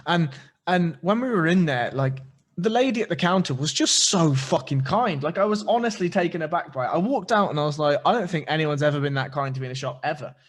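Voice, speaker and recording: A man hosting a casual interview podcast, animated and swearing freely as he tells stories and puts theories to his guest. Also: fairly high pitch, fast talker. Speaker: podcast host.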